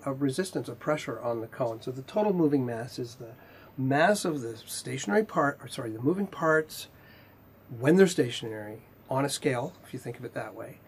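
A man in his fifties giving a technical lecture in a measured, explanatory way with pauses mid-sentence, his voice slightly husky. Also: on the high side, darkish tone. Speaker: instructor, R&D manager. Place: indoor video studio with whiteboard.

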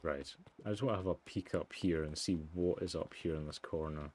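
A man doing low-key let's play commentary, reading story text aloud and muttering asides. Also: fairly quick, darkish tone. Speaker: gamer, let's player. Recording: music behind the voice. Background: game music.

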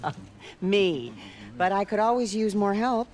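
A woman playing a kindly, caring aunt in a video game cutscene, speaking gently. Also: mid-range voice, expressive, a little slow, close to an everyday voice. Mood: gentle, caring. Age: 60s. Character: kindly, caring older aunt running a shelter.

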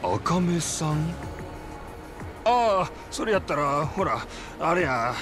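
High-voiced, eager Kansai local. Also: male voice, big pitch swings, takes it slowly, a bit bright, soft background music, exaggerated voice. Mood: eager, urging. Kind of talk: video game drama acting.

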